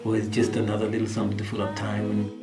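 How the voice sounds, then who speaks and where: Man, lowish pitch, probing unscripted talk, echoey room, guitar music behind. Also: monotone, rapid-fire. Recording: roomy echo under plucked-string music.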